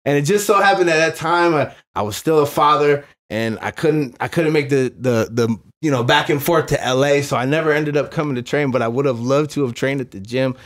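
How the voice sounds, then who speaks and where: A man hosts a casual podcast interview in an eager, animated way. He stumbles and restarts often, filling his sentences with you know and like. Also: a little high, a little fast, few pauses. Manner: enthusiastic, stumbling, lots of fillers. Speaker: podcast host.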